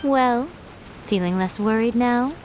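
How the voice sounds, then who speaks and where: A woman's voice: on the high side, slow pace, darkish tone, slight background noise, low-quality recording. A woman voicing a sly, composed and self-assured fox spirit priestess in a video game. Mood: teasing. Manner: teasing, composed, knowing.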